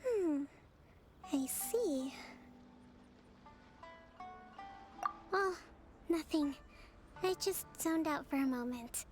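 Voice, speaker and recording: A young female tactician from a video game with a high, gentle voice. She speaks in composed, formal, thoughtful sentences, and music plays clearly behind her. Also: lively intonation. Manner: measured, formal, thoughtful phrasing. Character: gentle, composed young woman military strategist. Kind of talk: scripted video game character acting. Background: background music.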